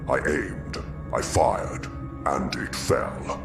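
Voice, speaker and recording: Very deep, gravelly undead hunter played by a man. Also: animated, full character voice. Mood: grim, fearful. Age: older. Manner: slow, brooding, ominous storytelling. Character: undead old male hunter, cursed shadow, brooding and haunted. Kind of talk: scripted first-person gothic horror narration. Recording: roomy echo over music. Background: music.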